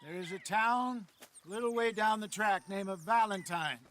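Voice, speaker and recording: An actor playing an older outlaw in a video game, wary and plain-spoken, with a folksy, cautioning manner. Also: male voice, sits high, moderate pace, fairly bright tone, a little hiss or hum. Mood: cautioning, wry. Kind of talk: video game cutscene acting.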